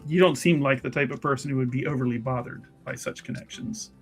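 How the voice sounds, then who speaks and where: A man's voice: mid-range voice, quick pace, faint music behind, natural voice. A man voicing a polite, pompous female mage investigator in a tabletop roleplaying game, with formal, wordy, hesitant speech. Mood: probing, courteous.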